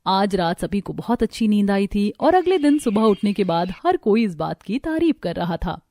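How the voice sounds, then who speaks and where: A woman reading a scripted story as the narrator of an animated cartoon. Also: medium pitch, moderate pace.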